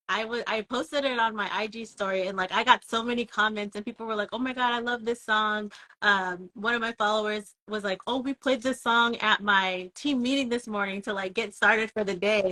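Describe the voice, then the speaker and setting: A woman's voice: medium pitch, bright, forceful tone. A woman hosting a music interview, chatty and enthusiastic, with lots of fillers, on a video call. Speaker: host, music blogger. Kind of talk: casual interview chat.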